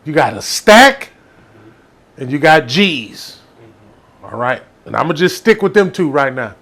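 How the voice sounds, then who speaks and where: Animated Southern man, higher-pitched, telling stories. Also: measured pace. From Texas, United States. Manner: animated, rambling, playful. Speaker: storyteller. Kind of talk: casual comic storytelling.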